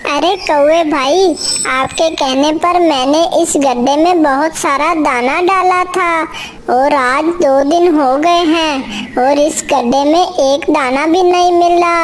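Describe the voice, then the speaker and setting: A woman's voice: high voice, slow, a little hiss or hum. A woman voicing a small, sweet female bird in a children's cartoon, speaking in a plain, earnest way. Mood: upset. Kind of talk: children's cartoon character dialogue.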